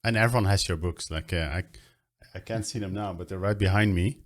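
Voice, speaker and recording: A man with a lowish voice hosts a casual interview show over a video call. He sounds keen and upbeat as he introduces the episode and puts his questions to the guest. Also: a little fast. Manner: enthusiastic, upbeat. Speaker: podcast host.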